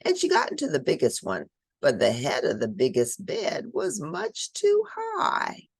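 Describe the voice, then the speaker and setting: A woman's voice: deep voice, wildly swooping pitch, measured pace, vocal fry, husky, no echo. A woman reading a picture book aloud to children, expressively, with dramatic emphasis and chatty asides. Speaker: children's storybook reader.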